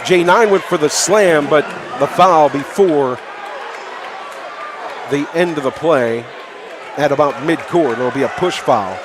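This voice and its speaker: A man calling live basketball play-by-play for radio in clipped phrases, excitable and raising his voice on big plays. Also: on the high side, a little slow, pauses often. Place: indoor high school gymnasium. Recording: live from noisy gym, crowd behind. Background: crowd and bouncing basketball.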